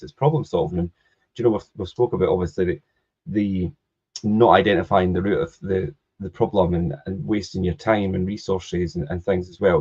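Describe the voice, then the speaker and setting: A man's voice: deep voice, darkish tone, husky. A man in his thirties hosting a casual podcast, often repeating words as he starts a thought. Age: 30s. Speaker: podcast host. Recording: dull lo-fi sound.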